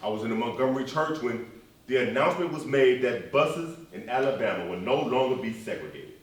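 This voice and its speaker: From far back in a heavily echoing hall, a male stage actor plays a dignified, earnest preacher and civil rights leader. His mid-pitched male voice moves in a measured, emphatic pulpit cadence, building through repeated phrases. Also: expressive, natural voice. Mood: proud, admonishing. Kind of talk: live stage play acting. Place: stage in a reverberant room, recorded from afar. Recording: echoey hall, distant microphone.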